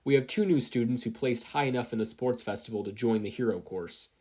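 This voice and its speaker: A reader voicing a weary, deadpan male teacher in a story, drawling and grumbling with boredom. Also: medium pitch, fairly quick, lightly acted.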